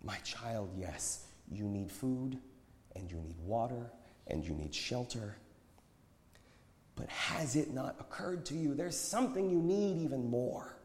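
A man's voice: fairly high pitch, animated, normal speed, hardly any pauses, a little mellow, voice pushed up. A man playing a prophet who preaches with tender yet challenging, pleading conviction in a dramatized scripture story. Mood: gentle, tender. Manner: declamatory, pleading, pointed. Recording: echoey hall with long reverb.

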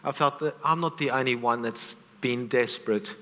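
A man's voice: mid-range voice, moderate pace, bright, forceful tone, big-room sound. A man preaching a sermon, earnest and conversational, with asides to the congregation.

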